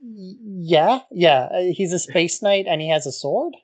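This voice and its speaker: A man co-hosting a podcast, talking in a relaxed way with wry asides. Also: high voice, a little slow. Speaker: podcast co-host. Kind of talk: casual podcast discussion.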